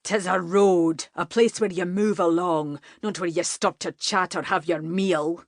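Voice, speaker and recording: A woman doing a gruff laird. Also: lowish voice, steady tone, measured pace, no echo, clean studio sound. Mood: brusque. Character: big gruff Scottish laird, brusque.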